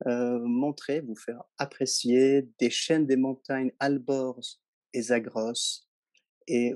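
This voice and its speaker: Slow, hesitant Iranian tour guide. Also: male voice, medium pitch, darkish tone, no echo. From Iran. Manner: slow, hesitant, many fillers. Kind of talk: webinar presentation.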